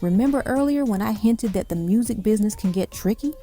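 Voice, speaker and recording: A woman's voice: mid-range voice, lively intonation, a little fast. A woman reading scripted music countdown narration, telling it like an evocative story in short dramatic phrases.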